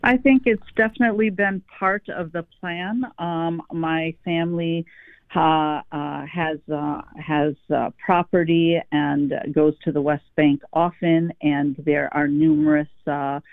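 An interview guest answers slowly and hesitantly, stumbling over fillers. The voice is dry and close, and it comes through a narrow, phone-like line. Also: medium pitch, fairly even intonation, dry recording. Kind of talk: casual interview talk.

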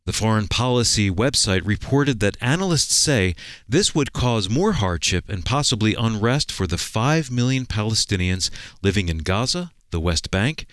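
A man co-hosting a radio program, reading scripted introductions and news in a polished, measured announcer style. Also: fairly low pitch, animated, a bit bright, no echo, clean studio sound.

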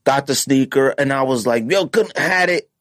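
A man's voice: mid-range voice, moderate pace, a bit bright, dry recording, studio quality. A man hosting a podcast, chatting casually through a countdown in a blunt, opinionated, slangy and emphatic way. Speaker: podcast host. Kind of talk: casual sneaker countdown chat.

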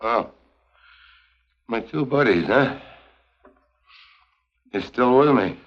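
An actor playing a tough, wisecracking American agent with a wry, slangy manner in an early 1950s TV spy drama. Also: male voice, medium pitch, expressive, slow pace. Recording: muffled vintage 1950s TV soundtrack.

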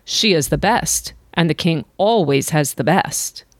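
A woman's voice: low voice, animated, measured pace, no room sound. A woman voicing a proud, hot-tempered old king in a storybook reading, with a commanding, roaring manner.

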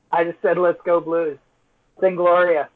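Brief: squeaky male co-host, quippy, phone-quality audio. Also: level tone, takes it slowly. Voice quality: naturally squeaky voice. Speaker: podcast co-host. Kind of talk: casual chat. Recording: tinny phone-like remote line, far from mic.

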